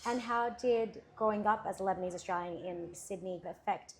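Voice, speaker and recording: A woman hosting an interview in a café, asking measured questions and often giving short affirmations. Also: medium pitch, a little hiss or hum. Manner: attentive, frequent short affirmations. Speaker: interviewer, host.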